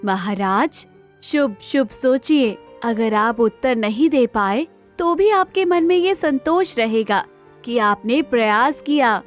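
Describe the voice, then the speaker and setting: A woman playing a gentle, devoted queen in a cartoon moral story, speaking tenderly and respectfully. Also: fairly high pitch, animated, slow pace, faint music behind. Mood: encouraging. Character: gentle, devoted queen, encouraging yet sorrowful.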